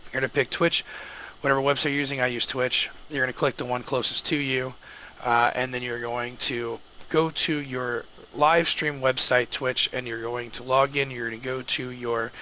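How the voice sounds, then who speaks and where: A man's voice: medium pitch, steady tone, moderate pace, pushed and bright, a little hiss or hum, poor audio. A man gives an informal how-to tutorial, explaining things step by step in a casual way. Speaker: tutorial host, gamer and streamer.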